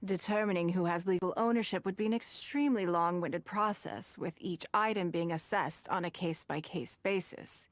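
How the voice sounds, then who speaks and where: A woman playing a shrewd, wealthy, coolly commanding businesswoman in a video game, speaking in measured, eloquent, formal phrases. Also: fairly low pitch, no room sound, close to an everyday voice. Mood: composed, wry.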